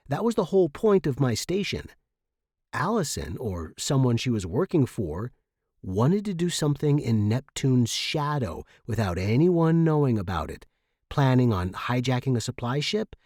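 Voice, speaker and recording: A male audiobook narrator reading scripted first-person fiction. His pitch is mid-range and moves in a lively way, and the recording is dry and close. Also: no room sound.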